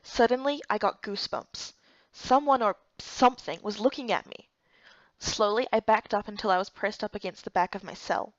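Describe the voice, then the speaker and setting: A woman's voice: mid-range voice, normal speed, dry recording, dull audio. A woman reading scripted first-person story narration for a game playthrough.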